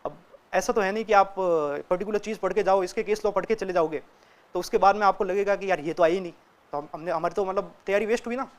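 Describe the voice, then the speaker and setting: A man's voice: high-pitched, fast. A young man, a student, giving casual, direct advice to other students and often checking in with them as he talks. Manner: chatty and direct, keeps checking in with listeners. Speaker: student sharing exam tips.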